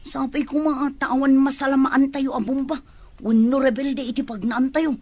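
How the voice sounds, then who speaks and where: A woman's voice: sits high, steady tone, normal speed, darkish tone, natural voice. A woman with an Ilocano Filipino accent acting an anxious, grumbling Filipina migrant worker in an audio drama. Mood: worried, complaining. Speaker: drama voice actor.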